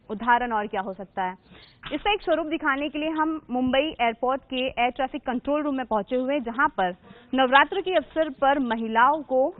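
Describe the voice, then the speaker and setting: A woman news reporter presenting from the scene and questioning a guest in a formal, emphatic way. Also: high voice. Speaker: field reporter. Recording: narrow phone-like audio.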